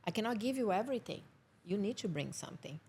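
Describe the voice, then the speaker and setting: Podcast guest: Brazilian woman with a Brazilian accent, halting English, average pitch, chatty and laughing. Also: animated, darkish tone, slightly rough. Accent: Brazilian Portuguese. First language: Portuguese. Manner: halting, searching for English words, laughs often. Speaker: film producer guest. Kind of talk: casual podcast conversation.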